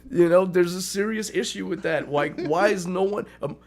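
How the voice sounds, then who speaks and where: A man co-hosting a casual radio chat, ranting and swearing, a little hoarse, and flaring up loud now and then. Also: high-pitched, moderate pace. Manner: ranting, profane, flares up loud when laughed at. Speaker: podcast co-host.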